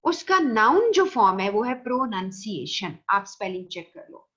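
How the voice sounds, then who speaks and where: A woman's voice: mid-range voice, very animated, moderate pace, fairly bright tone. A woman with a Pakistani Urdu accent giving a recorded classroom lecture, explaining patiently and slipping into English phrases. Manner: explains patiently, mixes English phrases, repeats "theek hai" checks. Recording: dull lo-fi recording.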